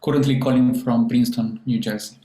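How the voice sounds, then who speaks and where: A guitarist and composer from Argentina speaking hesitantly and thoughtfully on a video call. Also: male voice, mid-range voice, steady tone, a little fast, dark tone. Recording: echoey room on a call.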